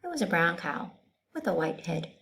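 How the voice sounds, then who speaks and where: A woman reading a children's story aloud, expressive and pausing to put questions to her listeners. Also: fairly low pitch, creaky.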